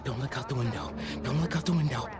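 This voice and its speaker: A man's voice: mid-range voice, fast talker, over loud music, lightly acted. An actor playing a friendly, awkward and eager teenage boy with an American accent in a video game. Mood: casual, anxious. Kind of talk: naturalistic video game acting.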